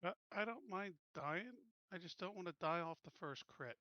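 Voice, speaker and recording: A man's voice: high voice, lively intonation, normal speed, no echo, muffled recording. A man chatting casually as a player in a game group, blunt, informal and jokey. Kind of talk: casual chat.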